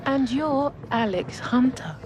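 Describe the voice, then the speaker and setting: An actress playing a warm, reassuring mother in a video game, gentle and encouraging. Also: high-pitched, expressive, takes it slowly, background noise.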